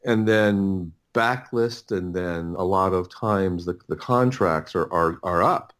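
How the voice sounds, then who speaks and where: A middle-aged man with a low-pitched voice answers as a guest in a casual interview. He talks haltingly, repeating words and restarting sentences as he rambles along. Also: a bit bright. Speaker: interview guest, audiobook producer.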